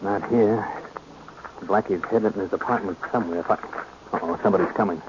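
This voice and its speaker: An actor playing a cool, sly private detective in 1940s radio style, measured and insinuating. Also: male voice, deep voice, lightly acted. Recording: muffled, noisy old radio recording.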